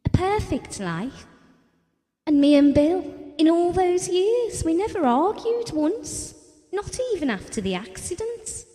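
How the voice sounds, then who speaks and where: A woman's voice: very high-pitched, very animated, a little slow, warm tone, heavily acted. A woman acting a wistful, devoted wife of about forty in an audio drama, telling her story in a reflective, intimate way. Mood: bitter, hurt. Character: Ellen, wistful, devoted wife around forty, quietly wounded. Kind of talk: theatrical audio drama monologue and dialogue. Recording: long hall-like reverb.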